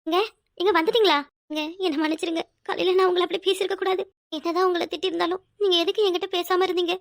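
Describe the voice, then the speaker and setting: Very high, plaintive young wife played by a woman. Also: steady tone, fast talker, pushed and bright. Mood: tearful pleading. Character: emotional, stubborn young wife, pleading and pouting. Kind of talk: domestic serial drama acting.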